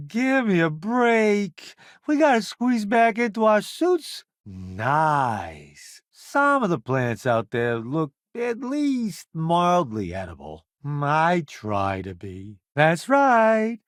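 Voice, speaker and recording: Flamboyant foodie ship's cook in a space game, full of himself, wry, slow drawn-out delivery, super dry close recording. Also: male voice, fairly high pitch, huge pitch swings, fairly bright tone, no room sound, full character voice. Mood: breezy, wry. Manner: theatrical, wry, self-promoting, drawn-out emphatic delivery. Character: flamboyant, vain celebrity food critic turned spacefaring ship's cook. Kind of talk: video game companion voice acting.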